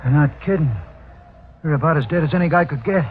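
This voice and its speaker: A man's voice: fairly high pitch, fast, lightly acted. An actor playing a cynical, streetwise racket henchman with wry, slangy tough talk, in 1940s radio mystery style. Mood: wry, needling. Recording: tinny, noisy old 1940s radio recording.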